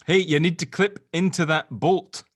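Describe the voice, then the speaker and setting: A man playing a protective middle-aged dad in an audio drama table read, chatty, hesitant and gently awkward. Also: on the high side, normal speed, dry recording, lightly acted. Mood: flustered, worried.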